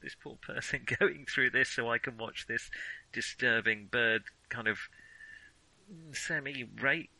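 A man's voice: medium pitch, measured pace, gravelly, sharp tone, no echo, poor audio. A man in a casual film discussion as a podcast co-host, thinking aloud and often correcting himself as he goes.

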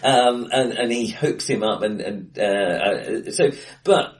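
A man co-hosting a casual film discussion, speaking in a hesitant, halting but enthusiastic way in a bright-sounding room. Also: mid-range voice. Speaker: podcast co-host. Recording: distant, bright echoey room.

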